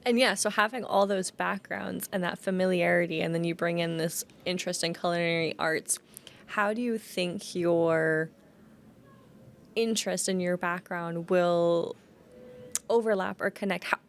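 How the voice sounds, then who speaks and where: A woman's voice: on the low side, steady tone, normal speed, vocal fry. A woman hosts an interview, upbeat and encouraging, with short back-channel replies. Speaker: host, international student services specialist. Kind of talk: interview hosting.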